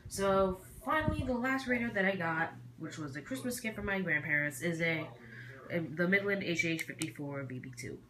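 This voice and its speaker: A hobbyist presenter with a feminine-sounding voice giving a casual, halting, rambling show-and-tell in a noisy amateur home recording. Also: mid-range voice, lively intonation, crowd noise.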